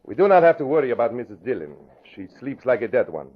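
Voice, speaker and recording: Menacing old radio spy boss, formal wording, gloating, dull vintage sound. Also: male voice, mid-range voice, sing-song, breathy edge. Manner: formal, deliberate phrasing without contractions. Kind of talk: 1940s radio drama acting. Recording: muffled vintage radio recording.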